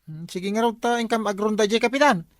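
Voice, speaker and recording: A man's voice: high-pitched, brisk, no echo, studio quality, lightly acted. A man acting an eager village watchman and comic sidekick in an Ilocano comedy drama, with an Ilocano accent. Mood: curious, eager.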